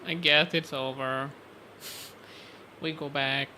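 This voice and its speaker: A man's voice: fairly high pitch, steady tone, slow pace, bright, forceful tone, slight background noise, low-quality recording. A man giving casual gameplay commentary, muttering offhandedly to himself as he plays. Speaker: game streamer. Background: game music.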